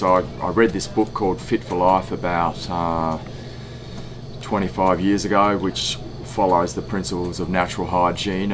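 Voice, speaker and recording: An Australian man in his forties giving a measured, wordy account of himself in an interview. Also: low voice. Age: 40s. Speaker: festival attendee interviewee. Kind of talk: interview testimony. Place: outdoors by a painted wooden wall. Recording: outdoor recording with clear ambient noise.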